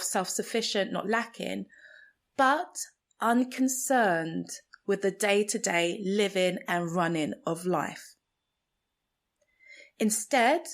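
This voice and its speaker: A woman from Nigeria whose first language is Igbo, hosting a solo podcast and thinking aloud slowly and carefully. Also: mid-range voice. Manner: slow, thoughtful, searching for words. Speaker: podcast host. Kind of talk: reflective solo podcast monologue.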